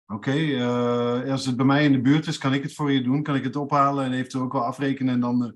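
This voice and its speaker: A man's voice: mid-range voice, level tone, quick pace. A man from the Netherlands, chatting casually as a guest and hesitating with fillers. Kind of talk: casual video call chat.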